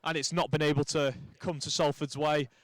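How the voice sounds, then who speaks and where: A young man from St Helens, giving lively live rugby commentary with chatty asides. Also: a little high, a little fast, dry recording. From St Helens, England. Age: young adult.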